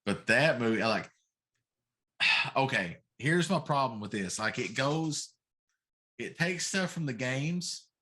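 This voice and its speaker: A man's voice: medium pitch, expressive, slow, long pauses, pushed and bright. A middle-aged man co-hosting a casual talk show, giving emphatic, grumbling opinions and repeating himself for effect. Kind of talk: casual opinionated chat about pop culture.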